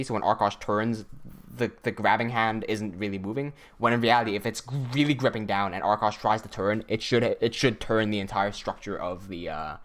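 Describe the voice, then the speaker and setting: A man's voice: fairly low pitch, a bit bright. Young man thinking aloud on a livestream. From Hong Kong. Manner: thinking aloud, reads chat messages, many restarts. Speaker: animator hosting a livestream. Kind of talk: casual livestream chat.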